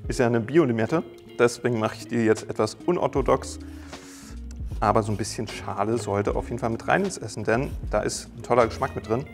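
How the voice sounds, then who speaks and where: A man's voice: mid-range voice, a little fast, faint music behind. Chatty young man explaining a recipe. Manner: friendly, chatty, explains step by step with small jokes. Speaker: cooking channel host. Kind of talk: casual cooking tutorial. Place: bright home kitchen studio, indoors.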